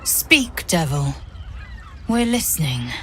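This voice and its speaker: A woman's voice: low voice, sing-song, slow, pushed and bright, a little hiss or hum, full character voice. An actress playing a stern, imperious female alien warrior in a video game, clipped and commanding.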